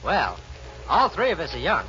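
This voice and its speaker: A man's voice: a little high, wildly swooping pitch, moderate pace. A man playing a cocky, wisecracking young cowboy with a dry, joking manner in a 1940s Western radio comedy. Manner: dry, joking, drawn to exaggeration. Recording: dull 1940s radio recording, music behind.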